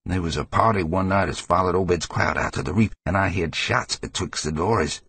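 A man voicing a hysterical, frightened old New Englander with a rasping voice and rambling rural dialect, in an audiobook horror story. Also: low voice, a little fast, fairly bright tone, no echo, pitched above the performer's own voice, heavily acted. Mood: conspiratorial. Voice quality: gravelly, husky old man's rasp. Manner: rambling folksy dialect, cackling and fearful. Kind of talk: dramatized audiobook character voice.

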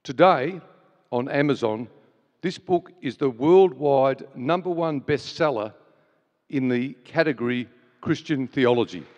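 A man's voice: medium pitch, measured pace, a little mellow, highs cut off. An earnest man welcomes a large audience and leads them in prayer, stumbling now and then over restarts and fillers. His mid-range voice rings through a large hall with a long, lingering reverb. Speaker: event host. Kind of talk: welcome and opening prayer at a church event.

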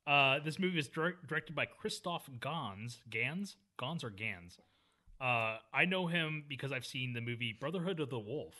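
A man with a bright, forward voice talks about movies on a casual podcast. He rambles, stops to fix names, and laughs easily. His pitch sits in the middle for a man. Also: lively intonation, normal speed. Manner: rambling, self-correcting, laughs often. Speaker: podcast co-host. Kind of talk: casual film discussion.

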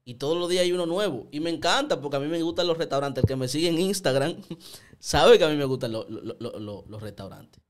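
Animated young man commenting. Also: on the high side, quick pace. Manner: animated, gestures a lot, frequent repeated words. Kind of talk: casual opinion commentary. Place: small indoor studio. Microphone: studio mic on stand, headphones.

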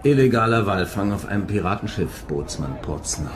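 An actor playing a terse, probing police investigator in a radio play. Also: male voice, fairly low pitch, expressive, moderate pace, noisy background, close to an everyday voice. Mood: confronting. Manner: terse, confronting. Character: probing male police investigator, dry and persistent.

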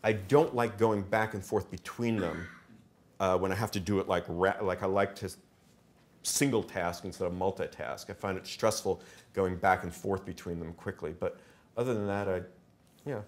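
A man telling anecdotes informally to an audience in a halting, wry, self-deprecating way. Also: low voice, fairly even intonation. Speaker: author and professor speaking at a reading. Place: wood-panelled auditorium stage. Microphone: podium microphone and lapel mic.

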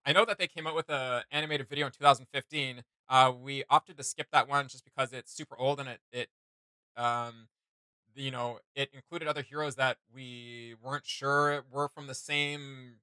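A man in his thirties hosts a casual reaction video. His voice is mid-pitched and bright, recorded dry. He talks in a rambling, slangy way with plenty of emphasis. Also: steady tone, normal speed, clear, resonant tone, dry recording. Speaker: reaction channel host. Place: small indoor home studio room.

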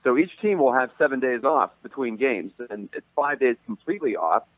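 A man's voice: medium pitch, expressive, a little fast, dry recording. A man calling in as a sports reporter, talking steadily and in detail. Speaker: sports reporter, phone guest. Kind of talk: sports commentary over the phone. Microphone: phone. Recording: tinny phone line.

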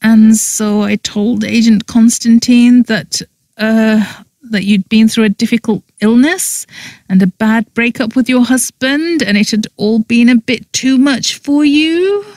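An anxious young woman acted out by a female narrator from an audiobook, protective and apologetic. She pleads hesitantly, lifted above the reader's usual pitch, in a close, dry recording. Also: measured pace, a little mellow, no echo. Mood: anxious confessing.

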